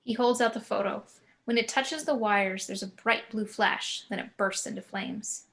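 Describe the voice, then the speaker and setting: A woman narrator with a medium-pitched voice reads scripted scene directions. Her delivery is measured and descriptive. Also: a bit bright. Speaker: narrator reading action description. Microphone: video call.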